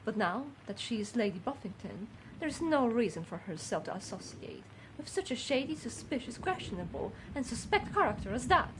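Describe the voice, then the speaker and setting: A woman acts out a fussy older housekeeper from a video game. She is high-pitched, judgmental and gossipy, speaking with haughty primness. There is clear noise and ambience behind her. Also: big pitch swings, a little fast, few pauses. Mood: disapproving, suspicious. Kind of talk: scripted game character dialogue.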